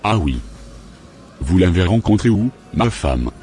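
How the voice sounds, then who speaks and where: A man voicing a deep-voiced unfaithful husband. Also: very animated, measured pace, mellow, faint music behind. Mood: casual. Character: unfaithful married churchgoer.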